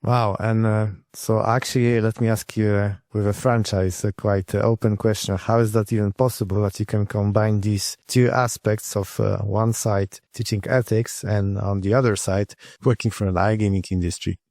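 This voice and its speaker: A low-voiced man with a gravelly, creaky edge hosts a podcast, pausing often with fillers as he builds long, winding questions. The sound is dry and close, with no room reverb. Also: level tone, darkish tone. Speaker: podcast host. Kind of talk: conversational podcast interview.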